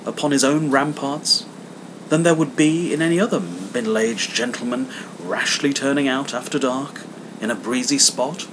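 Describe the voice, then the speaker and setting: A man reading a classic story aloud as a narrator, in a theatrical, emphatic way that relishes the words. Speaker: audiobook narrator. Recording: amateur recording with rumbling background hum.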